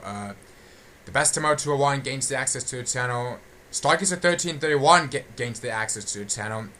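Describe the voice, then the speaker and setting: A man giving a casual, unscripted channel update, halting and often repeating and restating his phrases. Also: medium pitch, pushed and bright, a little hiss or hum. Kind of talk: casual unscripted audio log.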